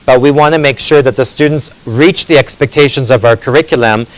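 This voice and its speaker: A man's voice: medium pitch, fairly quick, low-quality recording. A middle-aged man whose first language was Greek speaks at a conference podium, explaining his points earnestly in a mid-range voice. He sometimes stumbles and restarts a phrase, then carries on steadily. He sounds like an experienced former teacher addressing other educators. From Canada. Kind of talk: conference presentation. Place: conference hall.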